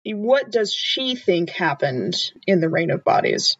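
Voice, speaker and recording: A woman's voice: fairly low pitch, big pitch swings, unhurried, breathy edge, lo-fi sound. A woman playing a tabletop roleplaying game, speaking casually and inquisitively in character. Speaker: tabletop RPG player.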